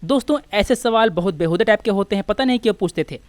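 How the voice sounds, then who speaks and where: A woman's voice: mid-range voice, expressive, quick pace, no room sound. A woman with an Indian accent giving an informal exam coaching lecture, explaining step by step and posing questions that she then answers.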